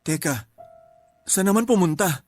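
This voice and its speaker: An actor playing a steady young male friend who talks in a measured, reassuring way in a radio drama. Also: high voice. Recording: music bed behind.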